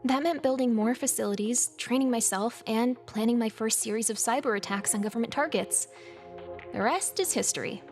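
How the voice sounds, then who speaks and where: Actress as young female hacker rebel for game cutscenes: higher register, confiding, dry, haunted, sweary; light music behind. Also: brisk, natural voice. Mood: regretful, guarded. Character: young woman hacker revolutionary, haunted, guarded, sardonic. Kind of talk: video game cutscene dialogue.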